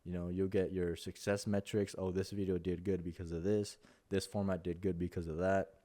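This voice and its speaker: A young Mexican man with a Spanish accent talks through creator advice in a low, flat, mellow voice. He rambles, restarts and leans on fillers as he goes. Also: moderate pace. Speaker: content creator. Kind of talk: casual talking-head advice.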